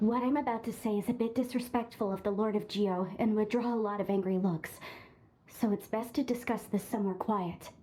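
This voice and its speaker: An actress playing a polite, curious young woman with an interest in archaeology, speaking softly and earnestly. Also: mid-range voice, steady tone, fairly quick, a little hiss or hum, close to an everyday voice, poor audio. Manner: polite, soft-spoken, earnest. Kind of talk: video game voice acting.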